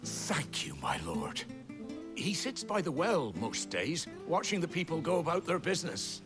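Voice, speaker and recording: A man's voice: a little high, big pitch swings, a little slow. An actor playing an earnest, deferential man in a game cutscene, asking respectfully. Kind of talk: video game cutscene acting. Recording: music behind the voice.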